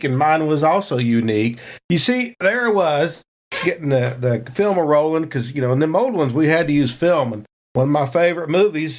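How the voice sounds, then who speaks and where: A folksy movie theater manager, played by a man, with a slightly high voice. He rambles in an easygoing, chatty way, like a tabletop horror game character telling his own tale. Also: lightly acted.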